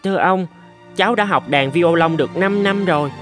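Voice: mid-range voice, moderate pace, a bit bright, big-room sound, soft background music, close to an everyday voice. An actor voicing a polite, devoted little boy in a cartoon, earnest and hesitant. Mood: polite, timid. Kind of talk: cartoon voice acting.

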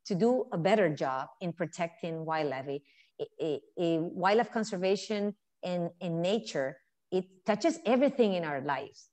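A woman's voice: on the low side, a little mellow. Colombian woman on a video call. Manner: earnest, thoughtful storytelling. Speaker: conservationist guest. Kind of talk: casual interview. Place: indoor home room. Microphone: earbud mic on video call.

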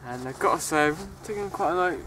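Young man, casual, slightly high voice, lakeside noise. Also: lively intonation, fast talker, pushed and bright. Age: young adult. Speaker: angler. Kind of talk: casual fishing commentary. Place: outdoors by a lake.

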